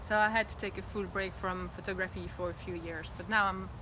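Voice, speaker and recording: A woman's voice: lowish voice, steady tone, fairly quick. Reflective woman outdoors. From Belgium. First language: French. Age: 30s. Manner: reflective, open and talkative. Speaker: interviewee. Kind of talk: candid personal interview. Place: outdoors in front of her house. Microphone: phone camera. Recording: outdoor phone recording, traffic noise.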